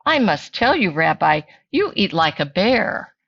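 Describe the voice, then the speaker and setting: A woman's voice: on the low side, big pitch swings, measured pace, vocal fry, a bit bright, no room sound, highs cut off, full character voice. A woman voicing a kindly, chatty old grandmother in a children's picture book, fond and fussing, with singsong exclamations.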